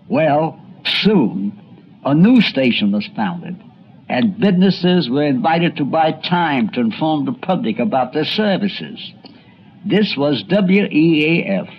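A man's voice: on the high side, a little hiss or hum. A man narrating a scripted history documentary like a storyteller, with conversational asides. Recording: dull, lo-fi old recording.

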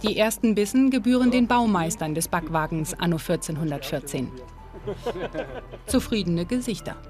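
A woman narrating a history documentary in a calm, informative and lightly playful manner, and also reporting on camera. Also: lowish voice, normal speed. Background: music.

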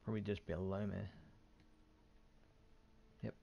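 A man's voice: lowish voice, little pitch movement, unhurried, mellow, dull audio. A man giving casual, sweary commentary on his gameplay, often muttering to himself over video game sounds. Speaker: gaming video host. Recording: clear background game noise.

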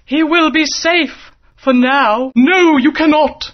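Brief: gentle dinosaur queen, high and unhurried, lo-fi. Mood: caring. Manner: gentle and protective. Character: caring dinosaur queen and mother. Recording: dull lo-fi game audio.

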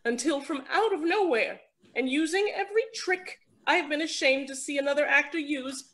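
A woman's voice: high-pitched, normal speed, a bit bright. A woman playing a vain, self-aware actress who narrates her own scene in an arch, wry, theatrical parody style. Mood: wry, showy. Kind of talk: parody stage acting.

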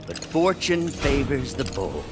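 An actor playing a brilliant, obsessive, bitter older scientist in a video game, brooding and intense. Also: male voice, mid-range voice, sing-song, a little slow. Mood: driven. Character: volatile middle-aged male scientist, brilliant, obsessive, bitter. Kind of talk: dramatic video game acting. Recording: loud music behind voice.

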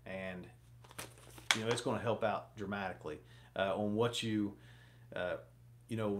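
A man's voice: lowish voice, noisy background. Rambling man giving fishing tips. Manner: rambling, lots of fillers, gestures a lot. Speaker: fishing vlogger. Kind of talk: casual talk to camera. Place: small home office, indoors.

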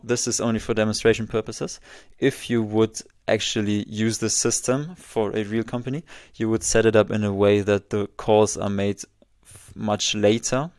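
A man in his twenties giving a casual walkthrough as a software tutorial presenter, close on a desk microphone. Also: lowish voice, no echo. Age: 20s. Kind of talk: casual screen-share walkthrough.

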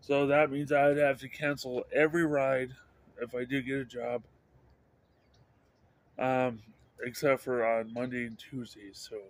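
A middle-aged man vlogging outdoors, talking into a phone held at arm's length, halting and restarting words in an upbeat, rambling way. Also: medium pitch, little pitch movement. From Idaho, USA. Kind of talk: rambling casual vlog. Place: outdoors by a house under overcast sky. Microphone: handheld phone camera at arm's length.

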